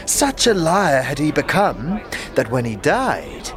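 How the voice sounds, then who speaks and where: A man playing a crazed, ragged old beggar who tells cryptic tales theatrically in an audio drama, halting and repeating phrases with erratic swings. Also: fairly high pitch, wildly swooping pitch, exaggerated voice. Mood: conspiratorial. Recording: music under the voice.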